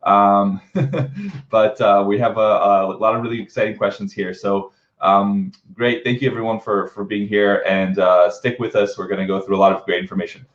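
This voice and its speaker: Echoey, low-voiced man hosting a webinar. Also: moderate pace. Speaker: webinar host. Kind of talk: casual live webinar interviewing. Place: indoor room, live online video call. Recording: roomy echo, dull lo-fi webcam sound.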